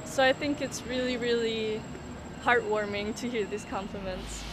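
Young austrian woman chatting on a busy street, kinda high voice, lots of "like", traffic noise behind. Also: moderate pace. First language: German. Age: 20s. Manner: chatty, laughs easily, fillers like "like". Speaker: interviewee. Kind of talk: casual street interview. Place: city sidewalk outdoors by a road. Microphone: handheld mic held by interviewer.

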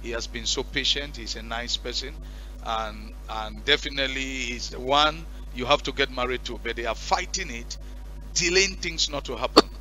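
A male pastor leading a deliverance prayer and counseling on stage through a headset mic, commanding and forceful. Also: mid-range voice, moderate pace, soft background music, poor audio. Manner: commanding, shouting at spirits. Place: church stage with curtain backdrop.

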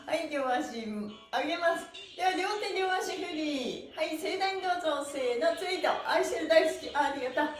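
Middle-aged female fitness instructor, high-pitched, encouraging, singsong counting, heard from across a reverberant room. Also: animated, normal speed. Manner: cheerful, encouraging, rhythmic cueing. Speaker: stretching class instructor and pantomime teacher. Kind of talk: exercise instruction with chanted counts. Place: small bare indoor studio room. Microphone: camera a few meters away. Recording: echoey room, mic far off, faint music.

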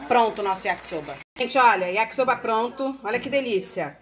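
A woman's voice: on the high side, expressive, fairly quick, a little hiss or hum. A woman in her forties from Brazil, chatting straight to viewers while she cooks in her home kitchen, filmed on a phone held close. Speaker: home cooking vlogger. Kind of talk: casual cooking vlog. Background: food sizzling in a pan, music.

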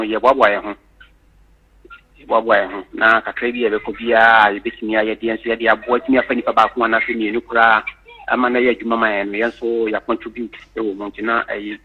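Husky-voiced man calling in by phone. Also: lowish voice. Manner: earnest, hesitant with many fillers. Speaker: caller. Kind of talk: call-in to a radio show. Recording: husky tinny phone line.

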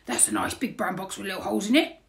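A woman voicing a gruff but kindly male burglar from a children's storybook, with colloquial, ungrammatical speech. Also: mid-range voice, sing-song, quick pace. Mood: matter-of-fact.

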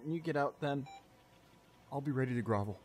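A man playing a tender, remorseful young boyfriend in an ASMR audio roleplay, speaking softly and haltingly with emotion. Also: mid-range voice, a little hiss or hum, natural voice. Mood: gentle, caring. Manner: halting, soft-spoken, emotional delivery with pauses. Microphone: close studio condenser microphone.

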